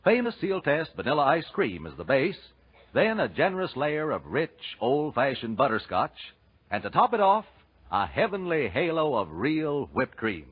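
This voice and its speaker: Animated, husky male radio announcer. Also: fairly high pitch, moderate pace. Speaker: announcer, commercial spokesman. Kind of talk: scripted 1940s radio announcing. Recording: muffled 1940s radio broadcast, music.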